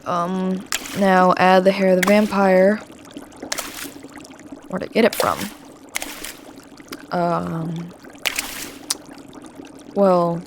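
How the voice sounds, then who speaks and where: A woman's voice: mid-range voice, noisy background. A woman voicing a mischievous male trickster witch who teaches potion-making in an audio roleplay. The witch snickers, teases and stumbles over hesitant fillers. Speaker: potion tutor.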